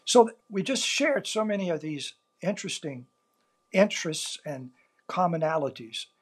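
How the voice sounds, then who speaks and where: An older man from South Louisiana speaks with a Cajun accent, his first language being Cajun French. His voice sits a bit high for a man and carries a husky edge. He answers questions in an earnest, reflective way, pausing between his thoughts. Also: expressive. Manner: earnest storytelling, measured pauses. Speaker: author and interview guest.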